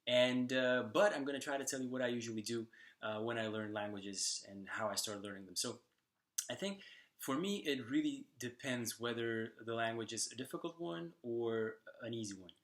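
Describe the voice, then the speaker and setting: A young man whose first language is Slovak, talking to camera in a casual vlog about learning languages, pausing often with ums and restarts. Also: medium pitch, lively intonation, a bit bright. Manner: thoughtful, lots of ums and restarts.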